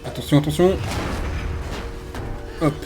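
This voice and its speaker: A man's voice: fairly high pitch, fairly even intonation, unhurried, warm tone, soft background music. A man hosting a gaming video with casual let's play commentary, chatty and hesitant as he thinks aloud.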